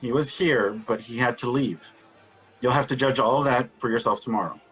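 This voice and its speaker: An actor playing a genial, eager-to-please wealthy father and host in a radio drama, courteous and placating, with a husky voice. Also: mid-range voice, moderate pace, fairly bright tone, faint music behind, low-quality recording. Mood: genial, soothing.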